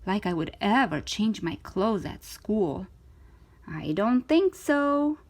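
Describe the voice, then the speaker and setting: A woman reading a children's story aloud in an expressive, theatrical way, with emphatic stretched words. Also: medium pitch, takes it slowly. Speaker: storytime reader of children's books.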